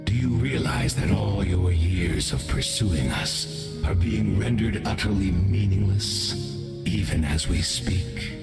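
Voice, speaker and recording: A man playing a grandiose, menacing abyssal herald in a video game, speaking in measured, theatrical phrases. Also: sits low, vocal fry, roomy sound, loud music behind the voice, heavily acted. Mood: scornful. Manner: grandiose, measured, dramatic pauses. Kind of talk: video game villain acting.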